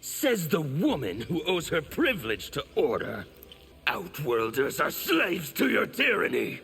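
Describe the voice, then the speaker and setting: Harsh, gravelly, ranting anarchist villain. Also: male voice, a little high, huge pitch swings, a little slow, full character voice. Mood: defiant, scornful. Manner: ranting, forceful. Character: fiery, fanatical anarchist villain, harsh and theatrical. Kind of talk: dramatic video game dialogue.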